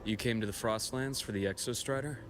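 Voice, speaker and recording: Calm male doctor-guide for a game, low register, measured and explanatory male actor. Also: over music, lightly acted. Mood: helpful, easygoing. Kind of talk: video game voice acting, explanatory storytelling.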